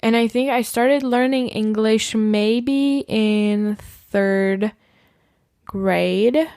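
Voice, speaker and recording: A woman's voice: a little high, extremely slow, darkish tone, no room sound, studio quality. A young woman from the Czech Republic, whose first language is Czech, co-hosting a podcast in casual, hesitant talk full of fillers. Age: young adult. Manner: hesitant, lots of "like" and "I don't know". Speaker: podcast co-host. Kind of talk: casual chat.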